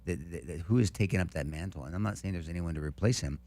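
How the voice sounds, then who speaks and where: A man's voice: sits low, fast talker, mellow. A man co-hosting a political talk show, talking emphatically and repeating phrases as he gives advice. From Lebanese heritage. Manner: emphatic, repeats himself when giving advice. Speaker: co-host.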